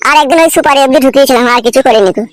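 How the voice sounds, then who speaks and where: A man's voice: very high-pitched, very fast, very noisy. An actor playing a frightened, defensive young man in a cartoon comedy, whining in a rural West Bengal accent. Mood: whiny, defensive. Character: frightened, whiny young man accused by everyone.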